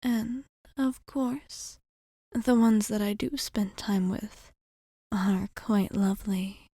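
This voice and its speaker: Elegant, protective vampire barkeep played by a woman, ASMR roleplay: unhurried, mellow, half-whispered, formal. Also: mid-range voice, level tone, dry recording, clean studio sound. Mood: musing, affectionate. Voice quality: soft, breathy half-whisper. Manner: measured, formal phrasing with gentle pauses. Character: elegant centuries-old female vampire bartender, calm and protective.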